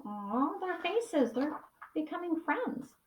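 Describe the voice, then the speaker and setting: A woman pre-K teacher reads a storybook in a fairly high, very lively voice. She leans on key words and pauses to put questions to her young listeners. The room sounds echoey. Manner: animated, slow dramatic emphasis, pauses to ask questions. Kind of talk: expressive children's storybook read-aloud.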